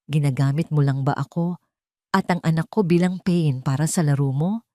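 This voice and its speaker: A woman's voice: sits low, normal speed, warm tone, no echo, clean studio sound, natural voice. A woman voicing a betrayed, hurt and angry woman in an audio drama, with a cold, broken, accusing manner. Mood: tearful, accusing.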